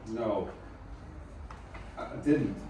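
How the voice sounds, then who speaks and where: A man acting a broken, guilt-ridden musician in a dramatic table read, halting and stammering. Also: on the low side, background noise. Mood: anguished denial. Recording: very echoey room, far from mic.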